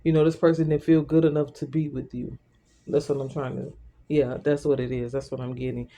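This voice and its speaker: A man's voice: mid-range voice, flat delivery, a little fast, vocal fry, dark tone. A man giving an informal tarot reading, talking directly to the listener in a conversational, repetitive way. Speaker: tarot reader.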